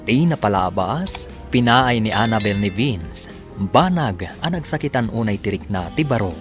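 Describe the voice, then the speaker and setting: Animated Filipino male radio drama announcer over music. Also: fairly low pitch, normal speed. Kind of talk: dramatic scripted radio narration. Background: music bed.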